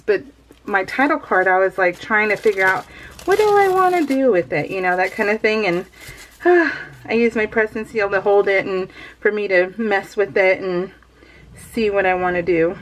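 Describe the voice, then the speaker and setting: A woman's voice: mid-range voice, slight background noise, rough recording. A woman in her thirties chatting casually while doing a scrapbooking project, rambling and laughing often. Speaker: scrapbooking vlogger. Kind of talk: casual voiced-over craft chat.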